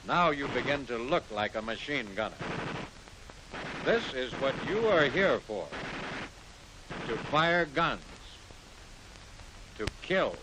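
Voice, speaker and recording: A man's voice: lively intonation, takes it slowly, pauses often. An American man reading scripted narration for a 1940s military training film, instructing the trainee directly. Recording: hissy 1940s film soundtrack, rumbling noise.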